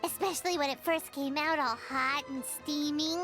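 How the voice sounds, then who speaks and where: A woman's voice: fairly even intonation, moderate pace, fairly bright tone. A woman voicing a tiny, chatty, cheeky fairy companion in a video game, childlike and chirpy. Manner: squeaky, chirpy, speaks of herself in third person. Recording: music behind the voice.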